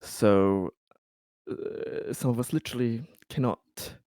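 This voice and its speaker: A man playing a tabletop roleplaying game, talking in a hesitant, halting way with many repeated words as he explains and negotiates carefully. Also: medium pitch, darkish tone, dry recording, studio quality. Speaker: role-playing game player. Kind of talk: improvised in-character talk and table discussion.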